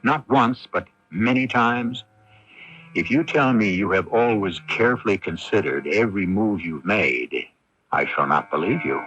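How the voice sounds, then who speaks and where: An actor as a knowing storyteller hosting a mystery radio anthology, addressing the listener in measured, portentous, scripted phrases. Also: male voice, lowish voice, fairly bright tone, a little hiss or hum. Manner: measured rhetorical questions, portentous. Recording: muffled early-1980s AM radio broadcast.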